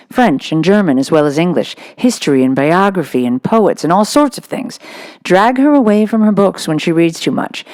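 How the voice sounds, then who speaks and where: A woman's voice: fairly low pitch, a little mellow, natural voice. A woman voicing a cheerful young soldier father in a storybook, speaking with indulgent affection.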